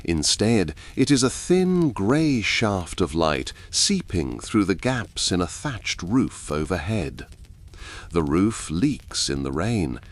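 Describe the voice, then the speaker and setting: Male narrator, low voice, widely swooping intonation, scripted ASMR storytelling, deliberate dramatic phrasing. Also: sing-song.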